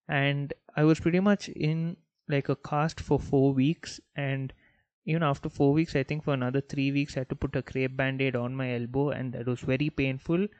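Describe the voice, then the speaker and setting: A man's voice: mid-range voice, no room sound. Young male podcast host, first language Malayalam, laid-back rambling storyteller, dry and slightly dull recording. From Bangalore, India. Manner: relaxed, rambling, many fillers like "like" and "you know". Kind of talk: casual storytelling monologue.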